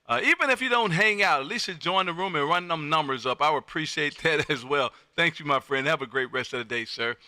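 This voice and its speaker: A man with a high, very bright and forceful voice hosts a ham radio livestream. He chats casually in a loud, folksy way, recorded close and dry. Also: fairly quick, few pauses, no echo. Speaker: amateur radio operator and livestream host. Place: home radio room.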